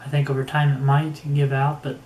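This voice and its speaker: A man talks through a casual product review in an even, level voice at middling pitch. He is unhurried and halting, working out his thoughts as he speaks. The room echoes around him, with faint noise behind. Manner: unhurried, halting, thinking aloud. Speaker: gear reviewer. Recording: roomy echo with faint background noise.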